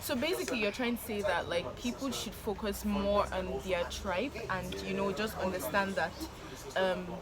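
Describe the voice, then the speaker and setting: A woman's voice: mid-range voice, normal speed. A woman hosting a casual interview, thoughtfully summarizing her guest's points with many fillers. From Nigeria. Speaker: vlog host. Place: outdoors by a busy street. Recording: loud crowd and traffic noise outdoors.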